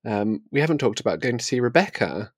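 A man's voice: mid-range voice, big pitch swings, fast, dry recording, studio quality. A man co-hosting a casual book chat in a chatty, hesitant, understated way. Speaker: podcast co-host.

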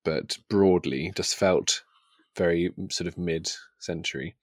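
A man's voice: low voice, unhurried, no echo, clean studio sound. A man co-hosting a podcast in a casual book discussion, thoughtful and a little hesitant as he qualifies his opinions.

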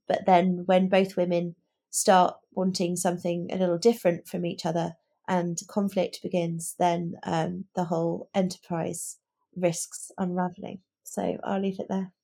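British woman, podcast co-host, mid-range pitch, casual book chat, informal, chatty. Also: gravelly.

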